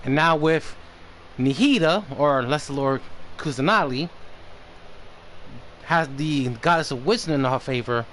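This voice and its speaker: A man doing casual livestream commentary on a game, halting as he stumbles over names and repeats words. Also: on the high side, fairly bright tone. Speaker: game streamer. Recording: slight background noise on stream.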